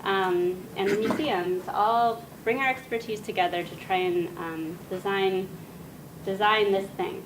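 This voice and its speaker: A woman giving an academic talk to an audience, soft-spoken and pausing often with "um". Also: medium pitch, fairly even intonation. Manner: soft-spoken, frequent "um" pauses. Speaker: guest speaker. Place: lecture room. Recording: distant mic with noisy room.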